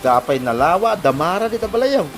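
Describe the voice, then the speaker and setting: A man playing a teasing, excitable village friend in an Ilocano comedy drama, with an Ilocano accent. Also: high voice, brisk, faint music behind. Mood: teasing, amazed.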